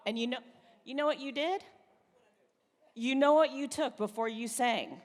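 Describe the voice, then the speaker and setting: Loud high-voiced woman emceeing a gala. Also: normal speed. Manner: loud, lively, storytelling. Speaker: event co-host. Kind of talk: live emcee banter at a gala. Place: large hall at a gala event. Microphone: handheld microphone. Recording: reverberant hall.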